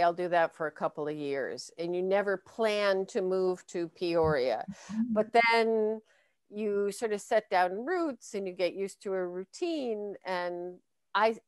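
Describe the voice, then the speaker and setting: A woman's voice: medium pitch, clear, resonant tone, dry recording, clean studio sound. An American woman in her sixties answering questions on a video call, thoughtful and hesitant, with many fillers and restarts. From United States. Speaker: guest speaker.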